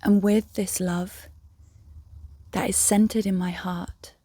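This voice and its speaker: A woman leading a guided meditation in a soft, breathy, near-whispered voice, gently and soothingly, with long pauses. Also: mid-range voice, level tone, no room sound. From England. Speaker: meditation guide, yoga teacher.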